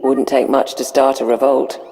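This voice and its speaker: An actress playing a cool, scheming aide in a video game, speaking in a calm, businesslike way. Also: female voice, rumbling low, normal speed, a little hiss or hum. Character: cool, scheming female aide to a dark lord. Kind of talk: scripted video game dialogue.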